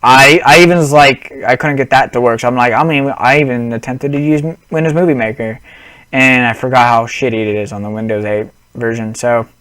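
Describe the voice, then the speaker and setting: A man's voice: medium pitch, fairly even intonation, brisk, a bit bright, clean tone, no room sound, rough recording. A young man on a headset mic, rambling through a casual gaming vlog with lots of restarts and apologies. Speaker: gaming vlogger.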